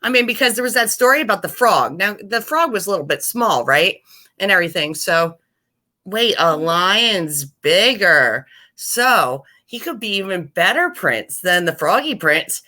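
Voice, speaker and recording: A woman's voice: mid-range voice, sing-song, measured pace, sharp tone. A woman improvising a silly story with a friend, playful and rambling.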